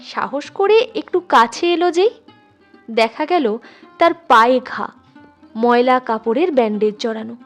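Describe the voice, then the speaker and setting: High-voiced woman storyteller over music. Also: a little slow, long pauses. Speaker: storyteller, channel host. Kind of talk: expressive literary story narration.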